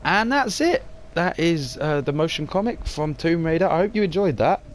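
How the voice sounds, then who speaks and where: A man's voice: on the high side, animated, moderate pace, a little hiss or hum. A young man wrapping up his video in a casual, upbeat, rambling way with lots of fillers. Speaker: channel host. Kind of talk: casual outro to camera.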